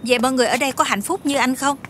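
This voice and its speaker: A woman's voice: sits high, fairly even intonation, racing, fairly bright tone, a little hiss or hum. A woman playing a naive, earnest young alien wish-granter in dubbed fantasy film dialogue, blunt and innocently literal. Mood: curious, wondering.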